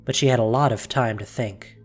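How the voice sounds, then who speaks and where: A man narrating a dramatic fantasy story solemnly, with deliberate pauses, over a music bed. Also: mid-range voice, slightly rough.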